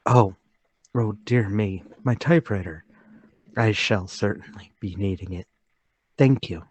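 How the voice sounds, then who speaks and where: A man's voice: on the low side, a little slow, darkish tone, breathy edge, no echo. An actor playing a meek, troubled travelling salesman, hesitant and deferential, in a table read of a period murder mystery. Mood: meek, grateful.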